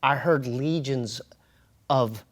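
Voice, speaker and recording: An American man telling a personal story as an interview guest, in a casual, slangy and halting but emphatic way. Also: medium pitch. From United States. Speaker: interview guest, comedian and hip-hop artist.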